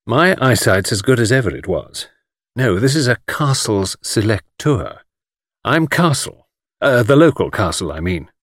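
A man voicing a suave, easygoing gentleman adventurer with dry, urbane politeness in an audiobook story. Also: medium pitch, sing-song, measured pace, no echo. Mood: wry, breezy.

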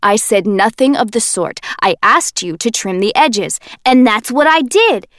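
A woman's voice: fairly high pitch, expressive, unhurried, a bit bright, no echo, clean studio sound. A woman reading an audiobook voices a composed young man who speaks icily and cuttingly. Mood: icy. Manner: icy, clipped. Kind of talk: audiobook character voice.

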